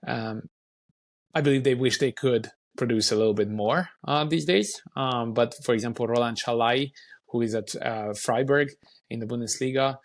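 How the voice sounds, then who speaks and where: Hungarian-accented man, early 30s, gravelly timbre, mid pitch; halting, restart-heavy interview guest. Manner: halting, many restarts and ums. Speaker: youth soccer academy director. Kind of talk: casual interview chat.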